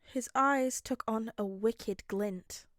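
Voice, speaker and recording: Female podfic narrator, higher register, scripted storytelling, very little room sound. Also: slow, fairly bright tone, dry recording, studio quality.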